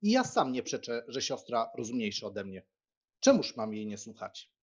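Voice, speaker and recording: A man acts out a young country gentleman for a dramatised audiobook. He is frank and teasing, with a husky, expressive delivery. The sound is slightly dull. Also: medium pitch, moderate pace. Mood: frank, chatty. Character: young Polish country squire, frank, teasing, deferential brother.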